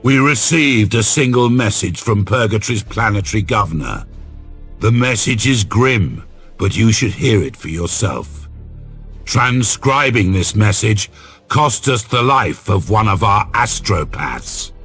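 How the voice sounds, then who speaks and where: An actor playing a solemn Inquisitor of a sci-fi empire, speaking in a formal, measured way for a video game. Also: male voice, fairly low pitch, lively intonation, a bit bright, husky, faint music behind, exaggerated voice. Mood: grave, urgent. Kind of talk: dramatic video game voice acting.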